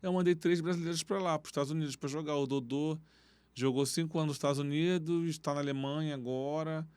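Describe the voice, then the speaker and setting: Animated Rio coach telling stories. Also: male voice, on the high side, fast talker, clear, resonant tone, no echo. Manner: animated storytelling with street slang. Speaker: football coach and guest. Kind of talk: casual interview talk. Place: podcast studio.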